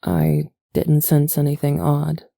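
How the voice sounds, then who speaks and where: A woman playing a calm, motherly alien matriarch in an audio roleplay, measured and soothing, tender but gently stern. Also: low voice, vocal fry, no echo, clean studio sound, exaggerated voice. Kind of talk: soft audio roleplay acting.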